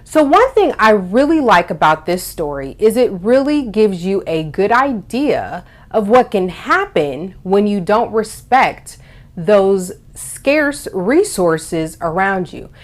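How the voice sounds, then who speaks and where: A woman with a very lively, swooping pitch hosts storytime for young children. She explains and reads aloud expressively, leaning on key words and drawing them out. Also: slow. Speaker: host of a children's storytime show.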